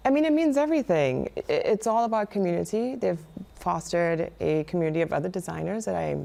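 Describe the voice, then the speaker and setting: A woman's voice: fairly low pitch, animated, a little fast, vocal fry, dark tone. A woman with a Trinidadian accent, a designer guest on a live morning TV show, talking earnestly with many fillers and restarts. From Trinidad and Tobago. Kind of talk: live TV interview.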